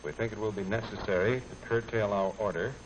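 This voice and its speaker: An actor playing a calm, formal American office boss in a 1950s training film, speaking with restraint and deliberation. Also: male voice, fairly low pitch, fairly quick, close to an everyday voice, rough recording. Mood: restrained, businesslike. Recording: old film soundtrack, faint noise.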